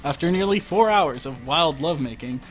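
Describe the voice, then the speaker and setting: Male livestream story reader, wry and prone to asides; lively, swooping pitch over quiet music. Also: moderate pace. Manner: wry, breaks off to comment on the text. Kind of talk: comic fan fiction reading on a livestream. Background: faint music.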